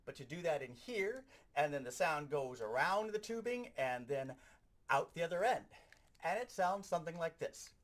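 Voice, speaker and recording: A man with a slightly high voice teaches in a practical, show-and-tell style. His intonation moves up and down a great deal as he explains each step like an animated instructor. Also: normal speed. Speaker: sound effects instructor. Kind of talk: hands-on instructional demonstration.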